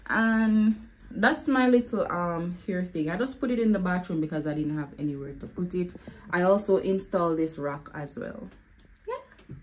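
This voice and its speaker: A woman, chatting casually to camera at home in a relaxed, laughing way, filmed on a phone. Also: lowish voice, a little hiss or hum. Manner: relaxed, laughing, slow and easygoing. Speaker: vlogger. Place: bedroom and bathroom of a home. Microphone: phone camera held close.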